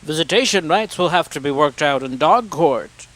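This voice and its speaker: A man's voice: on the high side, lively intonation, moderate pace, fairly bright tone. A man riffing comically over an old film with wry, sardonic asides. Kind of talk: mocking wisecrack commentary.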